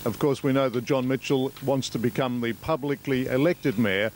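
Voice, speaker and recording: A man's voice: medium pitch, moderate pace, a little mellow, slight background noise. A man delivering a local news report as a reporter.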